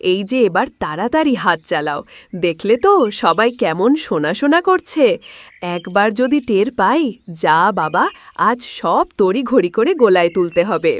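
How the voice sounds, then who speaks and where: Bossy village wife, played by a woman, shrewd and scolding, very lively swings for a cartoon. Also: mid-range voice, moderate pace, close to an everyday voice. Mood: urgent. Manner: scolding, emphatic, theatrical. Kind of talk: cartoon moral-story voice acting.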